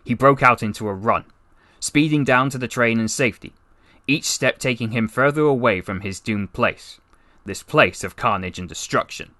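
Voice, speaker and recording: A man reading a story as a voice actor, in a solemn, dramatic and deliberate narrating style. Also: medium pitch, pushed and bright, dry recording.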